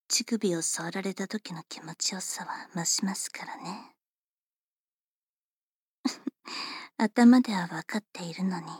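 A woman voicing a polite, teasing female servant who attends a young lady, speaking in formal, unhurried whispers for an ASMR roleplay. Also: on the low side, husky, no echo, studio quality.

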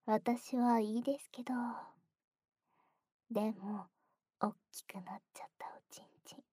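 A teasing young woman, a junior to the listener, as an actress plays her, talks slowly and breathily and often drops to a close whisper. She giggles between lines. The sound is dry and intimate, with no room around her. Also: fairly high pitch, mellow, breathy edge, dry recording. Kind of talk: whispered ASMR roleplay.

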